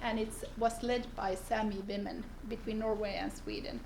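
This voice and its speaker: Gravelly-voiced woman giving a seminar talk. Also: mid-range voice, background noise. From northern Finland. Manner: unhurried, pauses to search for words. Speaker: seminar speaker, lawyer. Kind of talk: seminar presentation. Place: seminar room.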